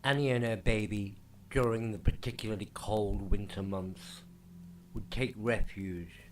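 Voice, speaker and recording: A man narrating a true ghost story in a slow, hushed, dramatic scripted delivery. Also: lowish voice, a little hiss or hum. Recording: faint music underneath.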